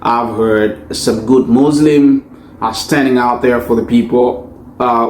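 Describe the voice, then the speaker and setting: A man from Tanzania with a Tanzanian accent, a pastor making an earnest personal statement in a deliberate, emphatic way. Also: medium pitch, slight background noise. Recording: echoey, roomy sound.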